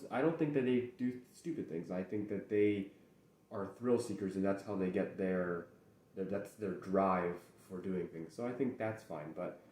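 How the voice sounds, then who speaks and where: A young man in casual solo chat as host, rambling and halting with many restarts, mentions speaking English natively. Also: on the low side, warm tone. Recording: echoey room.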